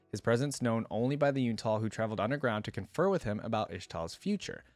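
A man's voice: mid-range voice, a little mellow, no echo. A young adult man hosting a reaction video, reading aloud and commenting casually in an informal, think-aloud way into a close desk microphone. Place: indoor room at a streaming setup.

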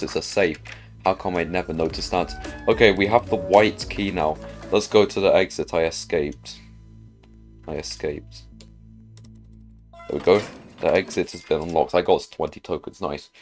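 A man's voice: sits low, a little slow, pauses often, faint music behind. A man giving casual gameplay commentary as a video host, talking to himself and asking himself questions as he plays.

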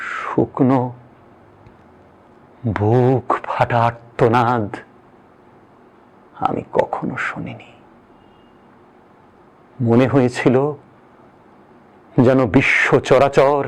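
Slow, solemn man reciting poetry. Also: medium pitch. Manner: solemn, deliberate, dramatic pauses.

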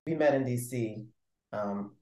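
A man who grew up in New York and Maryland, a musician guest speaking in a measured, thoughtful way. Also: mid-range voice, background noise. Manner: thoughtful, measured, trailing off at times. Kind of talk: casual interview. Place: indoor room with patterned wall. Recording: roomy echo.

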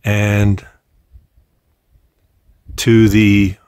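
A man in his forties casually narrating a mountain drive and summit walk, unhurried with pauses, recorded on a handheld camera. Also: lowish voice, clear, resonant tone, dry recording, studio quality. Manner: relaxed, unhurried commentary with pauses. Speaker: vlogger. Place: in a vehicle, then outdoors on a mountain summit. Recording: outdoor camera audio with noise.

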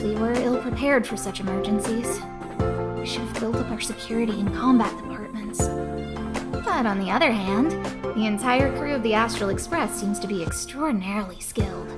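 High-voiced, earnest young lead researcher played by a woman. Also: natural voice. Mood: relieved, earnest. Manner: polite, articulate, formal. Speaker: game character, space station lead researcher. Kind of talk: anime game voice acting. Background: game background music.

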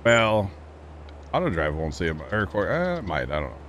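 Laid-back low-voiced man streaming a game. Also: very animated, moderate pace, fairly bright tone, a little hiss or hum. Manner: laid-back, chatty, laughs often. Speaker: livestream host. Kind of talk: casual gaming stream chat. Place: home streaming setup.

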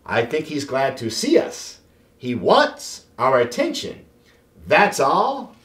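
A man's voice: fairly high pitch, huge pitch swings, takes it slowly, fairly bright tone, roomy sound, pitched below the performer's own voice, full character voice. A man voicing concerned young schoolchildren in a storybook, earnest and questioning.

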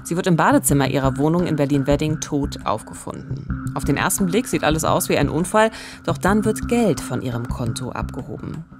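A woman's voice: low voice, lively intonation, fairly quick, music in the background. A woman in her forties hosting and narrating a true-crime podcast, close on a studio microphone. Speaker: podcast host. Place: small radio studio. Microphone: close studio microphone with pop filter.

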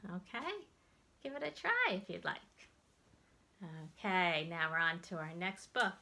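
Middle aged american lady doing storytime for little kids, really bright voice, takes it slow and expressive. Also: lowish voice, creaky, a little hiss or hum. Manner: expressive, deliberate delivery for young children. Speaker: children's librarian hosting storytime. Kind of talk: children's storytime read-aloud and instruction. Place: indoors, plain-walled room.

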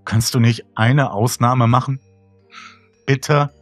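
A man's voice: medium pitch, fairly even intonation, slow, no echo, soft background music, voice pushed up. A man voicing a troubled young victim, frightened and pleading, in a horror story. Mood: agitated, pleading.

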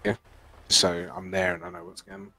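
A man's voice: fairly low pitch, very animated, moderate pace, gravelly, sharp tone, no room sound. A man chatting casually with a fellow player during an online roleplay game. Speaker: roleplay gamer playing a police trainee.

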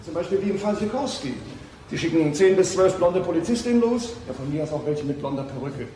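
An actor playing a harried, demanding police superior in a crime radio drama, impatient in manner. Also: male voice, a little high, expressive, brisk, dark tone, echoey room, a little hiss or hum, close to an everyday voice. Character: impatient, harried male police superior under public pressure.

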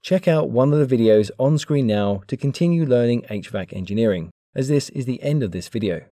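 A man giving a clear, measured, scripted narration for an engineering explainer video. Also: medium pitch, expressive, warm tone, no echo, clean studio sound. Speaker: engineering educator.

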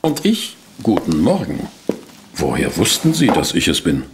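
A man's voice: deep voice, huge pitch swings, measured pace, background noise, heavily acted. An actor playing a polite, wry, inquisitive newspaper reporter who narrates his detective adventures in a German audio drama. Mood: cheeky.

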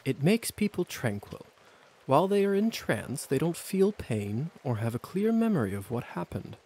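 Animated suave vampire hussar. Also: male voice, on the high side, normal speed, breathy edge, heavily acted. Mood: smug, calm. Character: suave, teasing male vampire hussar, adult aristocrat. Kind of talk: video game voice acting. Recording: game music under the voice.

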